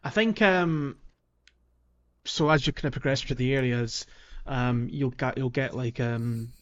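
A man's voice: medium pitch, measured pace, highs cut off. A man co-hosting a casual video game podcast, talking in an informal, somewhat hesitant way. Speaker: podcast host.

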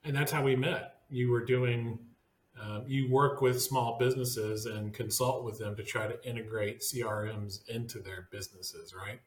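A man hosts a business talk show, chatting loosely and circling his points with fillers. His voice sits at a middling pitch and rings a little in a reverberant room. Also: steady tone, normal speed, slight background noise. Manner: rambling, hedging with fillers. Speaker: podcast host. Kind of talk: casual roundtable chat.

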